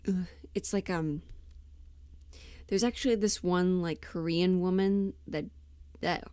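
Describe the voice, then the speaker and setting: A woman's voice: fairly low pitch, fairly even intonation, slow pace, mellow, no room sound. A woman chatting casually on a livestream, scattered and giggly, thinking aloud as she goes, close to the mic. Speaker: livestream host and audio performer.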